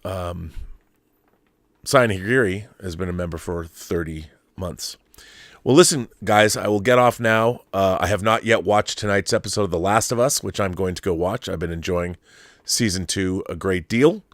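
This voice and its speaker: A middle-aged man with a fairly low voice chats as the host of a livestream. He speaks in an emphatic, conversational way, doubling back and restarting phrases as he works out his thoughts. The sound is dry, with little room in it. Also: no room sound. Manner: halting, repeats words and rephrases as he thinks aloud. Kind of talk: casual live chat answering viewer messages.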